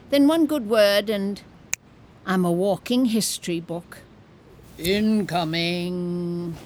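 A woman's voice: mid-range voice, a little mellow, slight background noise. A woman playing a cantankerous, bawdy, nosy old woman in a comedic audio drama, blunt, wry and grumbling.

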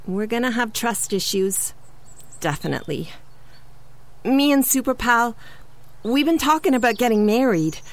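An actress playing a superhero's deadpan, self-justifying girlfriend, confiding in monologue in a comedic audio drama. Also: fairly high pitch, moderate pace, pauses often. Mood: wistful, resigned.

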